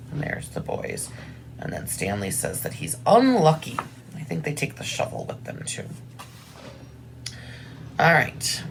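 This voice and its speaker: A woman's voice: sits low, gravelly, a little hiss or hum, low-quality recording. A woman reading aloud expressively as a teacher, with simple, informal explanations about what to draw. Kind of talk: expressive read-aloud with drawing asides.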